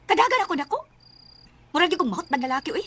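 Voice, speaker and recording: A woman's voice: very high-pitched, big pitch swings, rapid-fire, pushed and bright, full character voice. A woman acting in a Filipino Bisaya radio drama as a weary, resentful wife who scornfully complains. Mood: bitter, regretful. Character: weary, bitter, resentful wife rejecting her stepson.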